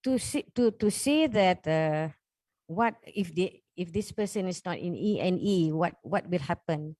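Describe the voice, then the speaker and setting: A woman from Malaysia speaking on a forum panel, earnest and halting as she lays out funding opportunities. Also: on the low side, expressive, a little mellow, dry recording. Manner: earnest, with hesitations and restarts. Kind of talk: panel discussion.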